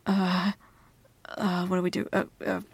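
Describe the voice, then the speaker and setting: A woman plays a flustered young woman with a crush on her best friend, in an intimate ASMR audio roleplay. She speaks slowly and hesitantly, stammering in a giggly way. Her voice is full of vocal fry and sounds very dry and close. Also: on the low side, monotone, no room sound, exaggerated voice. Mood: nervous, flustered. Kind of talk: ASMR girlfriend roleplay, intimate one-sided dialogue.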